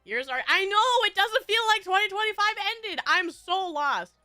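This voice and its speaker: A woman's voice: very high voice, expressive, moderate pace, pushed and bright, no echo, soft background music. A woman streamer with a stuffy nose, chatting casually with her viewers in a bubbly, rambling way with frequent giggles.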